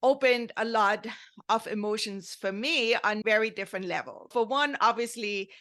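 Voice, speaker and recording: A woman's voice: fairly high pitch, moderate pace, bright, forceful tone. A woman from Germany with a German accent, telling a story in earnest, rambling podcast talk and often checking in with "right?". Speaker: podcast host.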